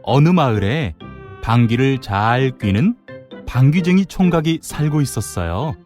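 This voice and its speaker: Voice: medium pitch, expressive, unhurried, a little mellow. A narrator telling a children's folktale expressively, playing up the sound words. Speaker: storyteller narrator. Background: music.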